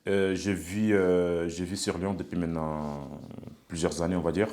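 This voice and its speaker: A man in his thirties from Senegal who works as an elevator technician, speaking haltingly in a documentary interview and stuttering on small words. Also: deep voice, steady tone, a little mellow. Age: 30s.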